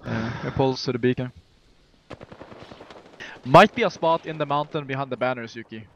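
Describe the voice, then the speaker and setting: A man's voice: medium pitch, normal speed, highs cut off, slight background noise. A man playing as an esports team player, giving casual, urgent in-game callouts and often repeating short phrases. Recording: game voice chat over gunfire. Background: gunfire and game sounds.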